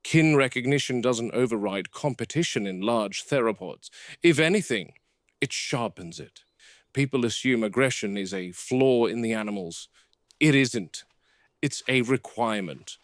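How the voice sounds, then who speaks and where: A man's voice: mid-range voice, animated, dry recording, natural voice. Man playing a grave game warden, dry close sound. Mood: grave, reflective. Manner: measured, solemn, precise phrasing. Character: grave, reflective middle-aged male game warden of dinosaurs. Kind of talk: scripted monologue as a spoken field log.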